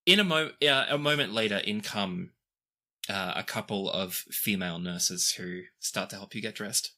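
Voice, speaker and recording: A man's voice: lowish voice, moderate pace, pushed and bright. A man acting as game master, narrating scenes and talking casually through the rules of a tabletop game.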